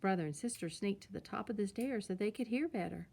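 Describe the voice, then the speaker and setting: A woman's voice: mid-range voice, fast, creaky, a little mellow, husky. A woman narrating a children's storybook as a read-aloud storyteller.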